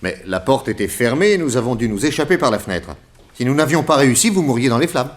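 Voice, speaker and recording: A man's voice: medium pitch, animated, fast, lightly acted. An actor playing an earnest, indignant male detective hero in an old radio crime serial. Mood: wry, indignant.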